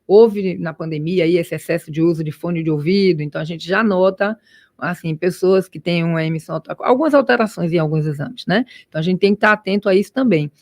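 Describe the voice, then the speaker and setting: A woman's voice: on the low side, brisk, a little mellow, dry recording. An ear doctor, a woman, explains things for a radio audience. She talks in careful, hesitant restarts, and her voice sounds dry and close to the microphone. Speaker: ear, nose and throat doctor. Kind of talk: expert interview guest.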